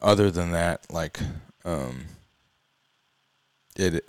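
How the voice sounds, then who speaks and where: A man co-hosting a podcast, joking at times and frank, speaking haltingly with restarts. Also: low voice, smooth, dry recording. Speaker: podcast co-host. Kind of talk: casual chat.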